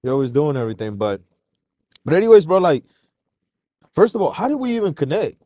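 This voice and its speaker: A man from Mexico, a guest on a casual podcast interview, telling his story in a relaxed, slangy way. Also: a little high, a little fast, no room sound. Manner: relaxed, slangy, calls host "bro".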